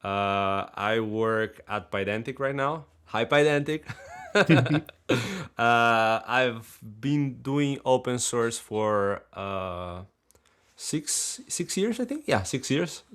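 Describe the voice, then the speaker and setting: A man from Brazil, speaking English with a Brazilian accent as a podcast co-host, easygoing and quick to laugh, with hesitant restarts. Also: mid-range voice, expressive, a bit bright, smooth. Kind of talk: casual chat.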